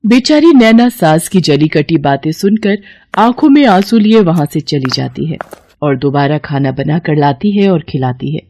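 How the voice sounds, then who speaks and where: A woman's voice: deep voice, animated, moderate pace, warm tone. A woman narrating a scripted cartoon family story as the storyteller.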